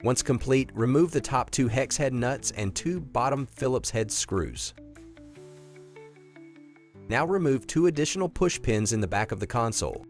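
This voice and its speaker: A man reading scripted instructional narration in a clear, methodical, step-by-step way, as the narrator of an installation video. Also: medium pitch, sing-song, moderate pace, soft background music.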